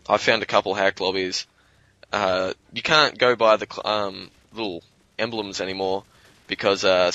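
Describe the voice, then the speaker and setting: Young Australian man, low voice with a forceful bright edge, slightly hoarse, loose rambling gamer talk. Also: dry recording, rough recording. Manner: rambling, loose, swears freely. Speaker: guest commentator. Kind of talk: casual gaming commentary.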